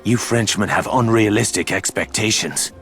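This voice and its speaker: An actor playing a gruff, sardonic Irish racing mechanic with an Irish accent and slangy, rough-edged speech in a video game. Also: male voice, fairly low pitch, normal speed, a bit bright, soft background music. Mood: guarded, surly. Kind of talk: dramatic video game acting.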